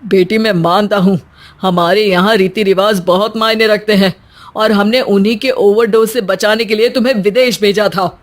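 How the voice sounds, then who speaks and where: A woman's voice: mid-range voice, steady tone, normal speed, natural voice. A woman voicing a firm, disappointed middle-aged father admonishing his daughter in a story. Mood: firm disappointment.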